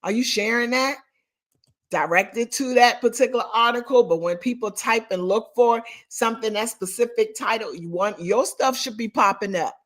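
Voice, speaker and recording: A woman, coaching real estate agents in an animated, emphatic way. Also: on the high side, normal speed. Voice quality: raspy. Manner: animated, emphatic, talks straight to the audience. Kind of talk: live online coaching session.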